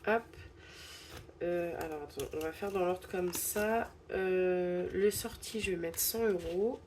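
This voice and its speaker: Slow, mellow woman with a cold. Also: lowish voice, a little hiss or hum. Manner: slow, hesitant, counting bills aloud. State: stuffy head cold. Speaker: budgeting channel host. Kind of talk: casual envelope-budget vlog narration.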